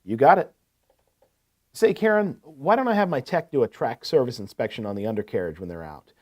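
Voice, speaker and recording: A man's voice: mid-range voice, expressive, brisk, a little mellow, lightly acted. A man playing a courteous, attentive equipment salesman in a scripted business phone call, asking probing questions. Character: courteous, eager male heavy-equipment salesman.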